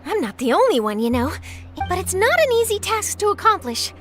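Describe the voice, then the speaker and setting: An eager young female reporter in a video game. Her voice is very high and animated, chatty and persuasive, over background music. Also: a little fast. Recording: music under the voice.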